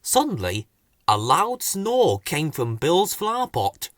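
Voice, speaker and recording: Male audiobook narrator, children's story, unhurried, highly expressive pitch, dry close sound. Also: no room sound. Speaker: audiobook reader.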